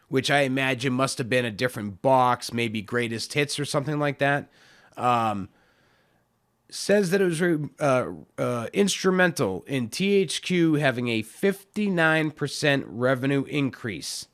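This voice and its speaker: A man hosting his own podcast, talking in a loose, rambling way with lots of fillers, his voice hoarse. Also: mid-range voice, expressive, measured pace, fairly bright tone. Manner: rambling, filler-heavy, self-deprecating. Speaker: podcast host. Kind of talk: casual solo podcast talk.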